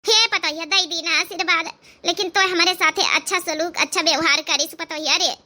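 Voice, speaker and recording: Woman putting on a shrill, spiteful village mother-in-law. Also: steady tone, normal speed, bright, forceful tone, exaggerated voice. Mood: wheedling. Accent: Awadhi. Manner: grumbling, shrill, theatrical. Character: spiteful, grumbling middle-aged village stepmother and mother-in-law. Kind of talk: cartoon comedy drama dialogue.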